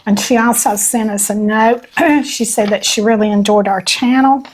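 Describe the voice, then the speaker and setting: An older woman co-hosting a channel, her voice a little hoarse from allergies, reading viewer notes aloud in a folksy chat. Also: a little high, moderate pace, a little hiss or hum, poor audio. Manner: folksy, reads notes aloud with small laughs. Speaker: channel co-host.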